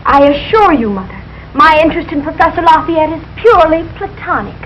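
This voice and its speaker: Casting: gushing, breathless teen daughter, very high voice, old radio comedy with muffled sound. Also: female voice, sing-song, fairly quick, a bit bright, slight background noise. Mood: dreamy, lofty. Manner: breathless, earnest, affectedly proper. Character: gushing, starry-eyed sixteen-year-old daughter. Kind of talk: 1940s radio sitcom acting.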